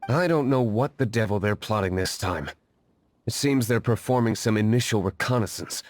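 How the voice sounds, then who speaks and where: An actor playing a brooding, wealthy vigilante in a video game dub, curt and formal with a dry, disdainful edge. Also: male voice, lowish voice, lively intonation, moderate pace, no echo, lightly acted. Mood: stern. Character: brooding wealthy male vigilante, aloof and stern.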